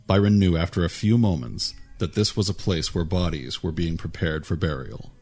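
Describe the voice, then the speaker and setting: A man reading a fantasy story aloud in a calm, even way, with a husky voice. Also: sits low, moderate pace. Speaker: narrator. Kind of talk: storybook narration.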